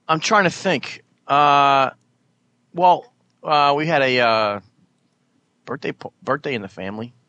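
A man in his late thirties co-hosting a casual talk show, halting and repeating himself in self-mocking bits. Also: medium pitch, bright, forceful tone, muffled recording. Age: 30s. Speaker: podcast co-host. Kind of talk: casual banter.

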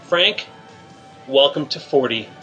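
A male radio show host leading deadpan teasing banter in a halting, filler-filled setup. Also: mid-range voice, lo-fi sound, faint music behind.

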